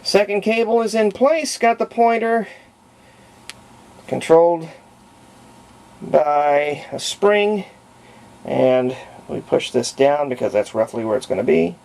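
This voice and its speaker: A man giving a casual hands-on tutorial in a folksy, unhurried way, thinking aloud as he works, with a gravelly voice. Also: a little high, lively intonation, darkish tone. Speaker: radio repair hobbyist demonstrating. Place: indoors at home, hands-on at workbench. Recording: faint background noise, home workshop.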